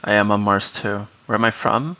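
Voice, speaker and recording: A man's voice: lowish voice, fairly even intonation, normal speed, pushed and bright, lo-fi sound. A man from Brazil whose first language is Portuguese, chatting casually on a live stream, explaining strategy and answering chat.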